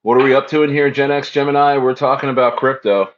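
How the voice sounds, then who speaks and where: A man's voice: mid-range voice, fairly even intonation, quick pace. A man hosts a crypto livestream in easy, rambling chat. He says lines twice and answers the chat as he reads it. His voice sits mid-range and comes through dull, lo-fi stream audio. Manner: loose and repetitive, echoes phrases, reads chat aloud.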